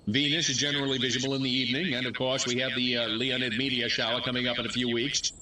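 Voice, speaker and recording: A man's voice: mid-range voice, level tone, fast, far from the mic, crowd noise, natural voice. An American man playing a bluffing small-town mayor in a video game, giving pompous, folksy, satirical 1950s-style political speeches. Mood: bluffing, emphatic. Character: bluffing small-town mayor, alien impostor addressing townsfolk.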